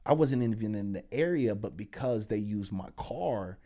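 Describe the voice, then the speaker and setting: A man in his twenties, a pastor and speaker, telling his story as a podcast guest in animated, slangy talk. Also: lowish voice, normal speed. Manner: animated, slangy storytelling that climbs loud when excited.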